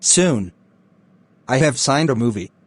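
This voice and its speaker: A man plays a courteous, easygoing young male celebrity in an educational cartoon. His pitch is average for a man. He delivers scripted lines deliberately, in a dry recording without any echo. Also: lively intonation. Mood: pleasant, courteous. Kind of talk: scripted English-learning dialogue.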